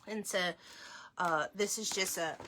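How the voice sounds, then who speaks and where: A woman's voice: on the low side, background noise. A woman hosting a story time, reading aloud to children and speaking to her listeners directly. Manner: slow, clearly phrased reading, addresses young listeners directly. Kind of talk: children's read-aloud with casual asides.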